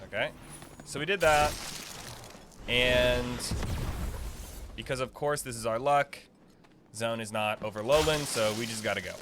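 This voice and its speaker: A man's voice: mid-range voice, measured pace, long pauses, background noise. A young man giving casual, informal game guide commentary, rambling and thinking aloud as he plays. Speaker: gaming video creator. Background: faint game music.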